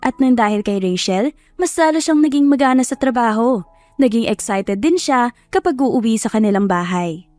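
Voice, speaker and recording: A woman's voice: a little high, expressive, moderate pace. A woman narrator reading a scripted love story in a storytelling style. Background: soft music.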